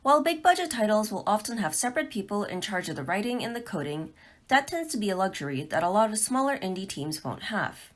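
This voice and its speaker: A woman presents a scripted tutorial in an explanatory way. Her medium-pitched voice swings up and down a lot as she moves through measured phrases. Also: big pitch swings. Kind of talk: scripted narration for an instructional video.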